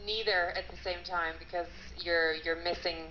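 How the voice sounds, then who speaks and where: A woman in her late twenties answering interview questions thoughtfully, pausing with fillers. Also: fairly low pitch, steady tone. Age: 20s. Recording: muffled lo-fi, light noise.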